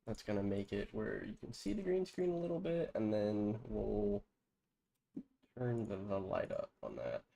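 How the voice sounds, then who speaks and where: A man's voice: lowish voice, measured pace, vocal fry, a little hiss or hum, rough recording. A man with a stuffy, congested nose, casually talking through a football video game on a live stream, rambling with plenty of fillers. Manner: relaxed, rambling, many fillers. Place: home streaming setup with green screen. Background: background music.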